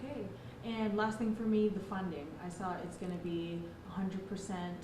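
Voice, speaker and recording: A woman's voice: medium pitch, fairly even intonation, normal speed. A woman news reporter asking casual interview questions. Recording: echoey room, background noise.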